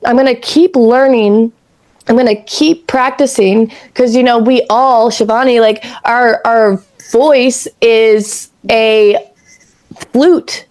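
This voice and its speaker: A raspy-voiced woman in her thirties from Canada, co-hosting a podcast in rambling, casual chat that drifts into spiritual talk and easy swearing. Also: fairly high pitch, slow pace, a little mellow. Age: 30s. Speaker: podcast co-host.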